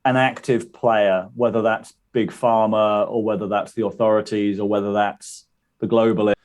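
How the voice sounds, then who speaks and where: A man's voice: lowish voice, little pitch movement, moderate pace, a little mellow. A man interviewing a guest in a played clip, asking tentative questions with restarts.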